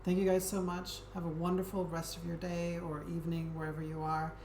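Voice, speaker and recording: Woman, low voice, soft-spoken livestream chat, hesitant with ums, roomy echo, noisy background. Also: brisk. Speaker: Twitch streamer. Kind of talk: casual chat with viewers. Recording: echoey room with steady background noise.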